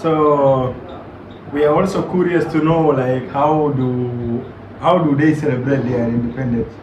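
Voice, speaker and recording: A muffled, roomy recording carries a South Sudanese man hosting a casual reaction chat. He sounds set back from the microphone, with a mid-pitched voice and clear background noise. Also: fairly even intonation, a little slow. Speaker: reaction video host. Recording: roomy, distant mic, muffled and noisy.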